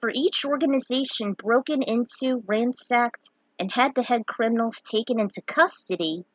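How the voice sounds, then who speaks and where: A woman's voice: on the high side, fairly bright tone, no echo, poor audio. Woman narrating a story, dry close sound. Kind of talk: reading a fan fiction story aloud with asides.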